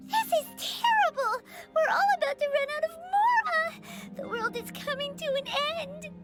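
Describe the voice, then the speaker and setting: An extremely high, squeaky little childlike companion for a video game. The delivery is cute, blunt and excitable with a bouncy pitch range, over clear background music. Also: female voice, moderate pace. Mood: dramatic dismay. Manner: cute, exclamatory, inquisitive. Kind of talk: scripted video game dialogue.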